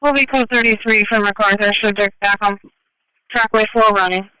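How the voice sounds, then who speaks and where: A man's voice: high-pitched, steady tone, brisk, sharp tone, smooth, rough recording. A man delivering terse bulletins over a two-way radio. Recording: transit radio transmission.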